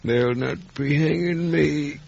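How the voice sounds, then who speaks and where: Gravelly, halting old dying convict. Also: male voice, fairly high pitch, a little mellow, a little hiss or hum. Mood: weak, tender. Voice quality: gravelly, husky. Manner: halting, labored, breathy delivery. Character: dying old escaped convict, rough but tender. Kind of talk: 1950s radio drama acting. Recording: dull, lo-fi old radio recording.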